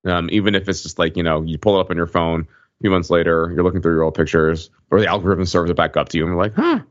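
A man co-hosting a podcast, talking casually and informally in halting bursts with many asides. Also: low voice, fairly even intonation, no echo, highs cut off. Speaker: podcast co-host. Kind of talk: casual conversational podcast talk.

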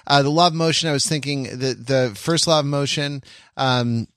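A man with a mid-pitched voice recorded very dry and close, chatting as a podcast co-host. He thinks aloud in a bookish, discursive way, circling back and restarting his phrases. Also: fairly even intonation, normal speed, fairly bright tone, no echo. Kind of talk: casual intellectual podcast chat.

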